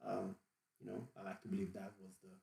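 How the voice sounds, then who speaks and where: A man's voice: low-pitched, monotone, quick pace, vocal fry, dark tone, reverberant. A Brazilian man in his forties explaining at length with many fillers and restarts, in a Brazilian accent. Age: 40s. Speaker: diving vlogger. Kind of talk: unscripted explanation.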